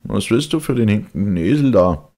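A man voicing a callous, quick-tempered village man who talks in a brusque, scolding way. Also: on the low side, animated, mellow, pitched above the performer's own voice.

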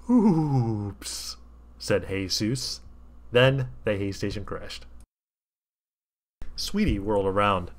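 A man reading comic fan fiction aloud as a storybook narrator, with wry, theatrical asides to the listener. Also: lowish voice.

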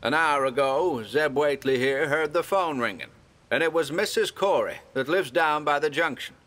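A man's voice: a little high, expressive, moderate pace, a bit bright. A man voicing an anxious rural villager who urgently retells events in folksy country speech in a horror audio drama.

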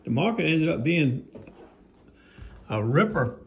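An older man talks in a rambling, folksy way while he films with his phone around his house and yard. His voice is gravelly and sits a little high. Faint background noise runs under him. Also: very animated, slow, muffled recording. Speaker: knife sharpener vlogger. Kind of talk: casual home vlog narration.

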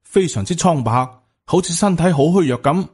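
An earnest young Taoist disciple, acted by a male narrator, deferential and pleading. The voice is pitched up and husky, with lively swings. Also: a little fast. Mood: earnest, worried.

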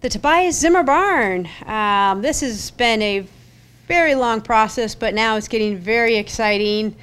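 A woman's voice: a little high, measured pace, fairly bright tone, clear, resonant tone. A middle-aged woman giving an upbeat, chatty parks update at a public board meeting, speaking at a podium mic in a council chamber, with many fillers. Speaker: parks staff presenter. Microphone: podium gooseneck mic.

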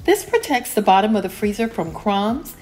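A woman narrating a home cleaning vlog as a calm, scripted voice-over, steady and homey, with lines that fall at the end. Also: medium pitch, moderate pace. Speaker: cleaning vlogger.

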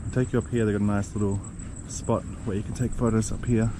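A man in casual travel-vlog chat, showing the grounds and food in a relaxed, chatty way. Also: quick pace. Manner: relaxed, chatty, talks to animals in a higher playful voice. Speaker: travel vlogger. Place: outdoors in tropical resort grounds. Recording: outdoor noise with crickets and insects.

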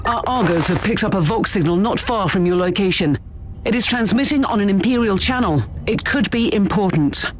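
A woman's voice: mid-range voice, lively intonation, moderate pace, noisy background, close to an everyday voice. A woman voicing a wry, sarcastic starship captain in a video game, speaking expressively. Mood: concerned, urgent. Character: wry, sarcastic starship captain, loyal but self-interested.

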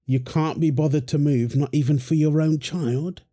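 A man's voice: fairly high pitch, fairly even intonation, moderate pace, mellow, lightly acted. A man playing a young father feeding his baby daughter, playful and affectionate, laughing often. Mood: playful teasing. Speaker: voice actor in audio roleplay. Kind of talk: wholesome audio roleplay.

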